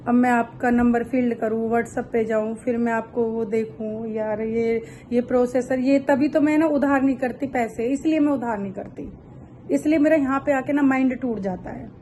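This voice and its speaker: A woman acts out an aggrieved shopkeeper on a prank phone call. Her voice sits fairly high yet stays mellow, pleading and insisting with small hesitations, guilt-tripping over a debt. There is slight background noise. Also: fairly even intonation, moderate pace, close to an everyday voice. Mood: aggrieved, plaintive. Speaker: prank caller.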